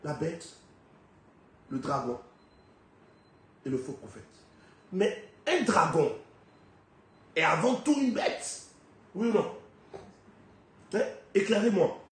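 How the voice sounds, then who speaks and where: A man preaching a sermon with a head cold, firing off rhetorical questions and swinging sharply between loud high peaks and low, quiet drops. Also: very animated, slow pace, pauses often. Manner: emphatic, rhetorical questions, big swings in pitch and loudness. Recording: very echoey hall, faint background noise.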